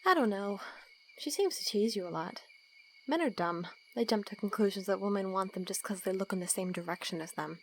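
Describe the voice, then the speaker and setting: A dry-witted young woman in an audio drama, played by an actress. She talks bluntly and teasingly, keeping her guard up in casual dialogue, with clear background noise and crickets around her. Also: mid-range voice, a little fast, close to an everyday voice, poor audio.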